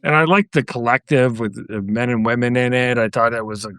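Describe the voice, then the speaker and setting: A man's voice: medium pitch, fairly even intonation, fairly quick, a bit bright, clear, resonant tone, no echo, studio quality. A man co-hosting a podcast, reminiscing casually about music. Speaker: podcast co-host.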